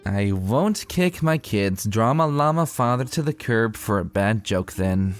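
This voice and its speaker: A man's voice: fairly low pitch, animated, unhurried, faint music behind. A man voicing a brash young female pegasus pony, teasing and easily flustered, in a dramatic fan fiction reading. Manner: teasing, stammering when flustered.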